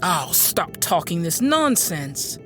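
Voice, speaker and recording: An actress playing a stern, uneasy woman recounting a dark tale in an audio drama, with a hesitant, insistent delivery. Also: on the low side, sing-song, takes it slowly, music in the background. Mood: alarmed, stern. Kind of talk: audio drama monologue and dialogue.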